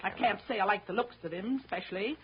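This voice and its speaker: A woman's voice: mid-range voice, fast talker, a little hiss or hum, close to an everyday voice. An actress with an American accent playing a sour, suspicious, bossy middle-aged mother in a 1930s radio drama, scolding in folksy, plain-spoken fashion. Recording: dull vintage 1930s radio broadcast.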